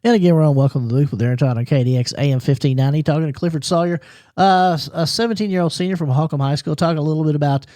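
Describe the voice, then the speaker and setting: Mellow-voiced local radio host, dry sound. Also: male voice, on the high side, dry recording. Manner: halting, many "uh"s, repeats station ID. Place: small radio studio booth.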